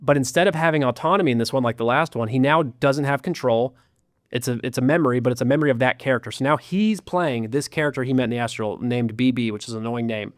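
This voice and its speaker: A man recounting a story in casual podcast talk, animated, with sarcastic asides. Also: mid-range voice, fast talker, no echo, clean studio sound. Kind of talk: casual chat.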